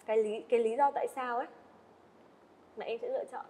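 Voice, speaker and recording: A woman's voice: fairly high pitch, fairly even intonation, fast, mellow, a little hiss or hum. A woman hosting a podcast interview, asking questions in a friendly way. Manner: friendly, frequent listening "ừ" and laughs. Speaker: podcast host. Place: indoor studio set.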